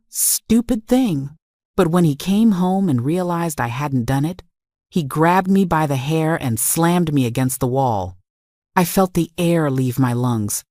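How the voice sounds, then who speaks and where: Low-voiced woman narrating a dramatic confessional story. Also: darkish tone, no echo, studio quality. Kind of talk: dramatic scripted first-person narration.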